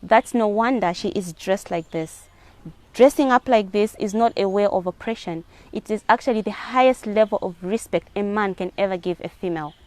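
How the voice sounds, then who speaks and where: A woman's voice: medium pitch, moderate pace, darkish tone, no echo. A woman with a Zambian accent giving earnest answers as a guest in a spoken interview. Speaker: interview guest, hijab advocate.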